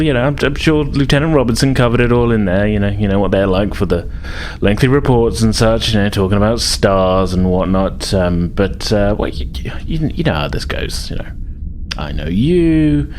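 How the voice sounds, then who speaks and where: Casting: male player as awkward, over-casual woman science officer in a tabletop game, low-pitched, noisy recording. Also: a little mellow, close to an everyday voice. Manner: rambling, hesitant, repeating herself. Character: informal, fumbling female science officer, overcompensating breeziness. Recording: noticeable background noise.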